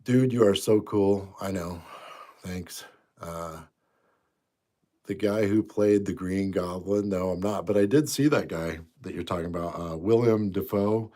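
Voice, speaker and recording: A man's voice: low voice, fairly even intonation, unhurried. A man in his fifties, a bit congested, casually chatting on a livestream and answering viewer comments in an easygoing, rambling way. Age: 50s. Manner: easygoing, rambling, trails off quietly. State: congested, stuffy nose. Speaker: livestream host.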